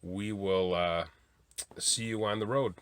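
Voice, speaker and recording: A man talking casually to camera as a travel vlogger, informal and halting, thinking aloud as he goes. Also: on the low side, steady tone, clean tone. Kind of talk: casual vlog talk to camera. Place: outdoors in a cemetery for much of it.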